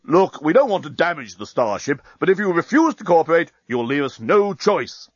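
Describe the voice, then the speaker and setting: A man voicing a menacing enemy space fleet commander, loud, declamatory and imperious, in an audiobook story. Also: high-pitched, very animated, normal speed, no echo, lo-fi sound, pitched above the performer's own voice, exaggerated voice. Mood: exasperated threat.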